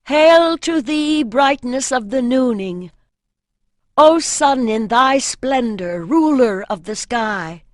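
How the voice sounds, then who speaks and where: A woman's voice: high-pitched, animated, takes it slowly, no room sound, voice pushed up. A solemn priestess of an ancient land, as a woman narrator voices her, speaks as if in ritual. Her delivery is formal, ceremonial and incantatory. It is a fantasy audiobook with a very dry sound. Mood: exalted invocation.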